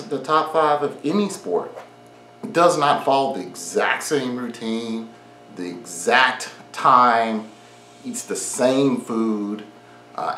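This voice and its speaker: A man's voice: mid-range voice, fairly bright tone, roomy sound, slight background noise. A man in his forties giving earnest training advice straight to the camera from his home garage gym, repeating his key points in an encouraging way. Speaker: fitness vlogger. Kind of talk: direct-to-camera advice talk.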